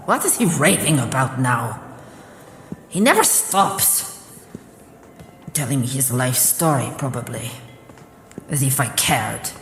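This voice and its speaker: A woman's voice: very deep voice, animated, takes it slowly, pauses often, faint music behind, exaggerated voice. A woman playing a haughty, suspicious enemy soldier in a radio drama, speaking with scornful deliberation. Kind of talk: radio drama acting, inner monologue. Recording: echoey reverberant voice, long reverb.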